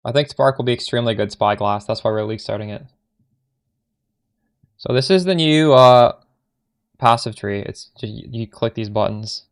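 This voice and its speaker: Gravelly Canadian man streaming a game. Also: mid-range voice, moderate pace, long pauses. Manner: chatty, answers chat questions while playing, trails off mid-sentence. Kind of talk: casual live-stream chat with viewers.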